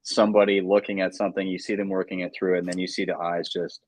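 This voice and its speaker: A man's voice: low voice, little pitch movement, fast, darkish tone, no echo. A man hosting a casual panel, enthusiastic and encouraging toward the guests. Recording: dry close-mic sound.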